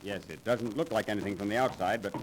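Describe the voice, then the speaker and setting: A man's voice: lowish voice, quick pace, natural voice. An actor playing a courteous, somewhat anxious businessman, polite and hesitant, in a 1930s radio drama. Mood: wry, polite. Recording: noisy 1930s radio transcription.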